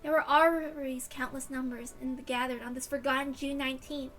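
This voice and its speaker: A solemn girl played by a woman muses in a story reading. Her voice is high and somewhat quieter, with a reflective manner and music faintly behind it. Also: little pitch movement, brisk.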